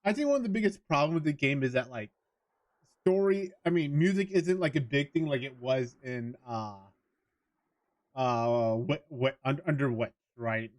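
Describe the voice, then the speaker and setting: A man's voice: mid-range voice, expressive, normal speed, a little mellow. A man talking casually to viewers as a livestreamer during a gaming stream.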